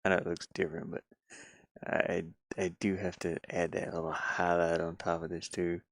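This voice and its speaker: Male, low gravelly voice, close dry sound; casual self-directed work commentary, lightly playful. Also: little pitch movement, moderate pace, no room sound. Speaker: game developer streaming his pixel art work.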